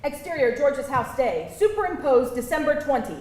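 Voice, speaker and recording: A woman reading stage directions aloud as narrator at a live table read, expressive and theatrical, in a roomy venue. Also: sits high, moderate pace. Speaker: screenplay narrator. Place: live event venue with audience. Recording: roomy live venue, faint background noise.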